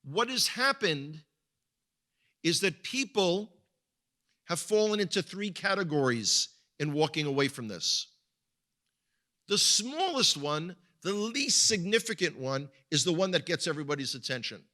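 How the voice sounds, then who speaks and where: Bright-voiced man with an Israeli accent. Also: a little high, big pitch swings, unhurried. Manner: conversational, repeats words when searching for them. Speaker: guest speaker at a congregation. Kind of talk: sermon-style talk.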